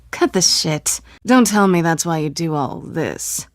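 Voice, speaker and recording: A woman's voice: sits low, lively intonation, slow pace, dry recording, clean studio sound. An actress voicing a fearless female cat burglar in a video game, playful, flirtatious and taunting. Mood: teasing, flirtatious.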